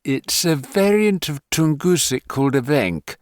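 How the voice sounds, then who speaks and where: A man voicing an English woman language scholar explaining a translation calmly over a phone line. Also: on the high side, unhurried, no echo, clean studio sound, pitched above the performer's own voice.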